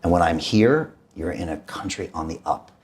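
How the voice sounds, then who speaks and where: A man's voice: low voice, lively intonation, normal speed. A man hosting an interview, talking casually and emphatically, often repeating words. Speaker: podcast host.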